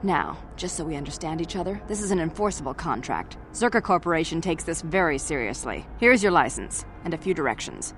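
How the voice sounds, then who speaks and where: A businesslike mining company clerk from a video game. Her voice sits a little low and she speaks formally and briskly, with faint noise behind her. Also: sing-song. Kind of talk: video game character acting.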